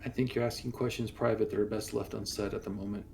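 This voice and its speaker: A man's voice: lowish voice, flat delivery, quick pace, gravelly, a little mellow, a little hiss or hum. A man voicing a loyal android chaplain in a tabletop game, cool, curt, literal-minded and reproving.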